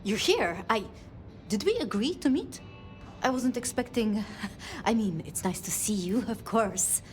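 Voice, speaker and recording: A gentle, anxious vampire woman from a video game. She speaks slowly in a fairly high voice, hesitant and earnest, with faint music behind her. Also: expressive. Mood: flustered. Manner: hesitant, earnest, flustered, polite. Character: gentle, anxious, idealistic young female vampire.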